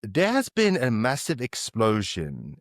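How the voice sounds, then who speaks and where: Man hosting a podcast, halting and chatty. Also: mid-range voice, huge pitch swings, no room sound, studio quality. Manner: friendly, halting, with many "um"s and restarts. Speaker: podcast host. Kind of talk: casual podcast interview.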